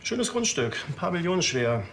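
An actor playing a slightly weary town hall official, wry and businesslike, in a TV crime comedy. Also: male voice, on the high side, big pitch swings, normal speed, fairly bright tone, a little hiss or hum.

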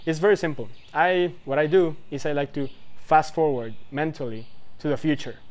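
A man's voice: on the high side, moderate pace, darkish tone. A man in his twenties giving advice in casual talk to the camera, rambling and loose, full of fillers and asides. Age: 20s. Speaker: vlogger giving life advice.

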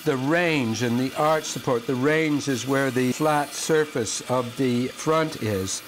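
An older man who carves shoe lasts, explaining his work calmly and step by step with frequent restarts while he cuts wood at a band saw in his workshop. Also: medium pitch, slow. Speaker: shoemaker and last carver. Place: workshop, indoors, next to a running band saw. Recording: clear power tool noise behind the voice.

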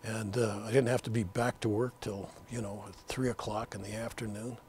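An older man from Colorado, a landscape artist, reminiscing in an interview in his art studio, with rambling, halting speech full of fillers and restarts. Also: fairly low pitch, level tone, a little mellow, slight background noise. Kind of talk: reflective interview talk.

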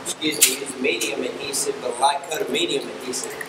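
A middle-aged man, a show judge explaining how he grooms a goat for show in a relaxed way, with frequent ums and restarts. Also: mid-range voice, fairly quick. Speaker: livestock fitting instructor and show judge. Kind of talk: demonstration tutorial. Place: large indoor barn arena. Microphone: far camera mic. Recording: echoey barn from far off with air conditioning hum.

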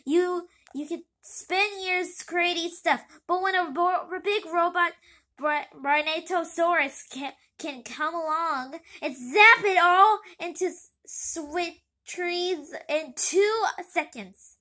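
A woman acts out a bitter cartoon cat dad, once a villain and now grumbling. The voice is high and sharp, with emphatic, drawn-out complaints. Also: full character voice.

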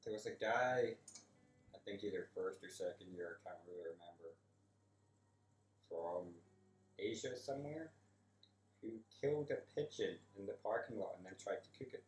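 Very deep-voiced young man, roomy sound. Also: normal speed, pauses often. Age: young adult. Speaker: student interviewee. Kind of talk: anecdotal storytelling. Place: room with a sofa. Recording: echoey room.

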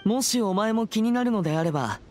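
A man's voice: sits high, lively intonation, fairly quick, a little mellow, slight background noise. A young man voicing a serious, earnest teenage boy exorcist in a Japanese anime game, with a formal, reserved and polite manner. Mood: thoughtful. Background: background music.

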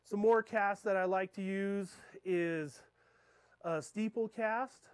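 A man in his thirties, a fishing guide, giving a talk in a darkened lecture room and checking in with his listeners with a steady "okay?". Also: high voice, slow, clean tone, dry recording. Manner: keeps checking in with the audience with "okay?". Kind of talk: classroom talk to an audience. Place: dark lecture room beside a projector screen.

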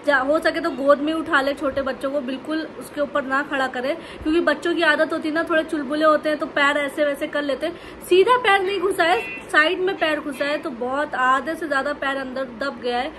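High-voiced young woman vlogging at home. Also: brisk, few pauses, a little hiss or hum. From India. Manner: animated storytelling to the camera, with lively gestures. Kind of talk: casual chatty vlog narration. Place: bedroom at home, indoors. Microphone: phone held close.

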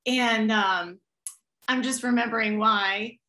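A young woman singer with a slightly raspy voice, chatting as a guest on a video call from a room at home, gushing and full of fillers. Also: fairly high pitch, fairly even intonation, takes it slowly, pushed and bright. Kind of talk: casual chat, storytelling. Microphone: webcam on a video call.

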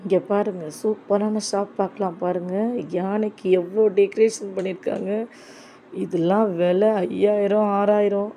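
A woman's voice: medium pitch, little pitch movement, normal speed, dark tone. A woman casually narrating her shopping trip in a chatty, enthusiastic way. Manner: chatty, enthusiastic, repeats "super super". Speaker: vlogger.

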